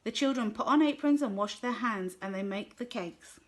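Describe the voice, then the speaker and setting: A woman with a slightly high voice reads a children's picture book aloud. She tells the story gently and clearly, at an easy pace for young listeners. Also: moderate pace. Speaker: storybook reader.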